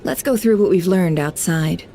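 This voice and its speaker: Woman acting cool female game character, low mellow voice, formal, measured, shrewd, quietly teasing boss. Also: a little hiss or hum, close to an everyday voice. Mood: coolly teasing. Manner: formal, measured, understated menace. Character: composed, shrewd woman intel broker and boss, coolly teasing.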